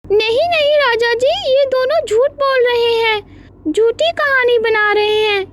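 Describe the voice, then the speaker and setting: A woman voicing an anguished, pleading mother crow searching for her chick in an animated moral story. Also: very high voice, unhurried, slight background noise, voice pushed up. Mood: desperate protest. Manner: pleading, lamenting.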